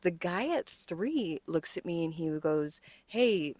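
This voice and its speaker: An American woman chats casually as a podcast co-host, her voice sitting a little low. She tells stories with animation and swearing, slipping into quoted dialogue. The sound is very dry and close. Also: lively intonation, slow, darkish tone, no echo. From California, United States. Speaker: podcast co-host, restaurant server. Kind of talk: casual storytelling chat.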